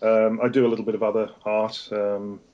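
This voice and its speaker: Man, Lancashire English accent, low voice, muffled lo-fi audio, hesitant fillers, casual interview guest. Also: flat delivery, a little fast, darkish tone. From England. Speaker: guest, comic artist.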